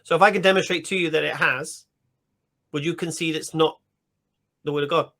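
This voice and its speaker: A man's voice: on the high side, steady tone, a little fast, bright, forceful tone. A man debating a caller, pressing him with insistent questions and cutting in on his answers. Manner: insistent, interrupting, cross-examining.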